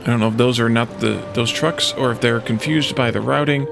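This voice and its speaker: A man's voice: medium pitch, steady tone, brisk, vocal fry, music in the background. A man giving casual let's play commentary, thinking aloud and musing to himself as he plays.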